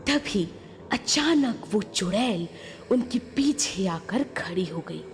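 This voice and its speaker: A woman's voice: mid-range voice, animated, slow pace, a little hiss or hum. A woman narrating a scripted horror story in a dramatic storytelling style. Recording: long reverb, music behind.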